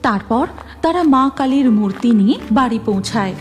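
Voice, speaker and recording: A woman's voice: a little high, lively intonation, a little fast, music in the background. A woman with a Bengali accent giving scripted narration of a story.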